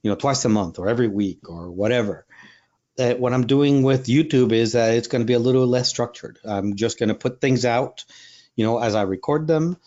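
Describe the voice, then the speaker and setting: A man's voice: medium pitch, a little fast, darkish tone, muffled recording. A man from Rico, a Spanish speaker, chatting casually in an interview with lots of fillers and restarts. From Puerto Rico. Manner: rambling, many fillers and restarts. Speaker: wildlife photographer and workshop leader.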